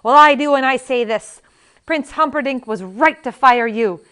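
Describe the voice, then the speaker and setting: A woman voicing a tiny ancient wife who scolds in a shrill, furious way. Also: normal speed, a bit bright, smooth. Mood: scornful.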